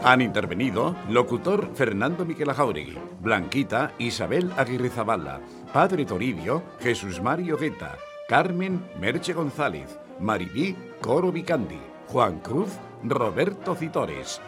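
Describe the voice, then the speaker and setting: An actor playing a chuckling radio contest host with a polite, measured announcer delivery. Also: male voice, medium pitch, very animated, music in the background. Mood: formal, presenting. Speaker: radio quiz show host. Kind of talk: radio theatre quiz show presenting.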